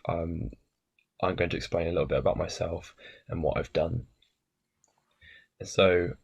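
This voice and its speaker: Gravelly low-voiced young man. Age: 20s. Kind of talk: informal personal testimonial.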